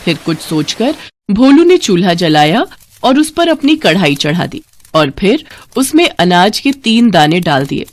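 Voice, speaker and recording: A woman narrating a bedtime cartoon story in an expressive, scripted storybook style. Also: medium pitch, dry recording.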